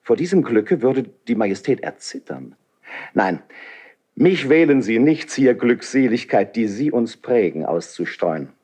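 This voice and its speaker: An actor playing a proud, fervent, idealistic nobleman in a classical verse radio drama, with deliberate declamatory phrasing. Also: medium pitch, big pitch swings, dark tone, slightly rough. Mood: fervent, defiant.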